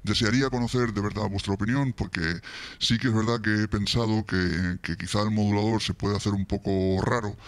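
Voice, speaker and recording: A man's voice: fairly even intonation, fairly quick, no room sound. A man in his forties with a European Spanish accent, chatting informally and jokily to camera through a voice modulator, close on the microphone. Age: 40s. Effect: voice modulator deepening the voice. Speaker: YouTube host, football fan channel. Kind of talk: casual chat to camera. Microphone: close vintage-style studio microphone.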